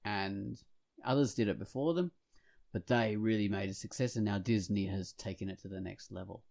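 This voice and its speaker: A man's voice: lowish voice, moderate pace, creaky, darkish tone. A man co-hosting a podcast in a casual, conversational discussion. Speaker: podcast co-host.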